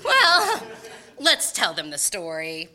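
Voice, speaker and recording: A woman's voice: lowish voice, wildly swooping pitch, takes it slowly, heavily acted. A woman playing a fussy, bossy wife and mother in an amateur old-time radio skit. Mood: cheerful narrating. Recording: noisy live room recording.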